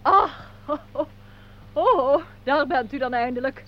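A woman's voice: high voice, lively intonation, takes it slowly. An actress playing a chatty, fussy, complaining lady in a radio play, on a very tinny phone-like recording. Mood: reproachful. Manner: fussy, exclaiming. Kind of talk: Dutch radio play acting.

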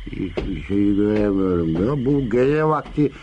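Low-voiced, self-pitying drunkard. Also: male voice, lively intonation, lo-fi sound, a little hiss or hum. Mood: drunken, self-pitying. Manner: groaning, halting, muttering. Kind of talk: Turkish radio theatre acting.

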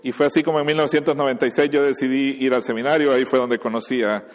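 A man's voice: medium pitch, fairly even intonation, rapid-fire, long reverb tail. A man in his forties from Honduras and Guatemala, a guest pastor giving a conversational personal testimony with fillers and restarts. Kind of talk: personal testimony before a sermon.

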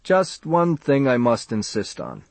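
A man voicing a fat, self-important older doctor in an audiobook, with a pompous, measured, clinical manner. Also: mid-range voice, wildly swooping pitch, creaky, darkish tone, no echo, exaggerated voice. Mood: firm, lecturing.

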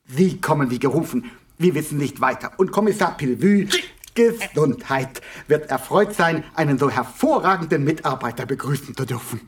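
Man doing fussy gushing poet type gent in radio play, highish voice, really swoopy, florid and excitable. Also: moderate pace, close to an everyday voice. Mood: effusive. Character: fussy, effusive, excitable gentleman with poetic airs. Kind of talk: German radio play acting.

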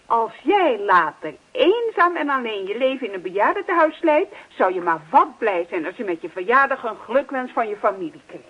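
An actress playing a nagging, neglected wife in a radio play, calling out with persistent, reproachful questions. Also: female voice, fairly high pitch, sing-song, normal speed, few pauses, husky. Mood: insistent, indignant. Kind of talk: Dutch radio play acting. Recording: dull lo-fi old radio recording.

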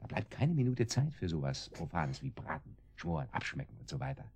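An actor playing a menacing, mocking kidnapper in a radio drama, with a sarcastic, domineering manner of taunting. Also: male voice, very deep voice, very animated, brisk, creaky, a little mellow, a little hiss or hum, exaggerated voice. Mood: irritated, scornful. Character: menacing, mocking male kidnapper, boss of a sidekick. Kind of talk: German radio drama acting.

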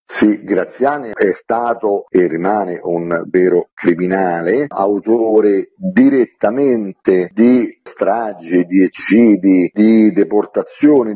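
Husky, low-voiced man, mellow and methodical. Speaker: author interviewed about his book. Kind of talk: explanatory radio interview. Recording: dull, lo-fi interview audio.

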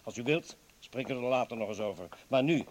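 An actor in a radio play playing an earnest party comrade who pleads insistently and tries to placate. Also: male voice, medium pitch, expressive, quick pace, lightly acted. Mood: persuasive. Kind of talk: dramatic radio play acting.

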